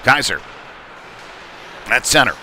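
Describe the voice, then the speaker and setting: A man giving live play-by-play commentary on ice hockey in a halting way, with frequent pauses. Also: medium pitch, sing-song, sharp tone. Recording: noisy live rink broadcast.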